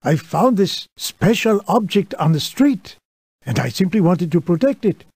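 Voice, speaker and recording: A man playing a sheepish, defensive villager with a husky voice in a children's game. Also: sits high, big pitch swings, unhurried, darkish tone, dry recording, exaggerated voice. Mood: sheepish, flustered. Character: sheepish defensive villager caught with stolen treasure. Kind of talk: children's game cartoonish voice acting.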